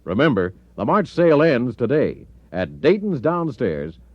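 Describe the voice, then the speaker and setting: Male American announcer, sixties retail ad read, hugely animated pitch, dry, slightly dull radio recording. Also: a little slow, dry recording. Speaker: commercial announcer.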